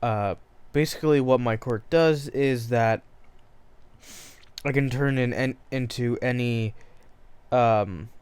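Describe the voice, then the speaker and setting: Man voicing a courteous rich teen boy, earnest and self-correcting, smooth dry voice. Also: medium pitch, takes it slowly, pauses often, darkish tone, no echo, natural voice. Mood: explaining. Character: polite teenage boy from a rich family.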